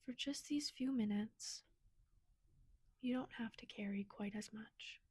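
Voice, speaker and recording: A woman leading a guided meditation in a gentle, soothing, soft and breathy manner. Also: fairly high pitch, unhurried, no room sound.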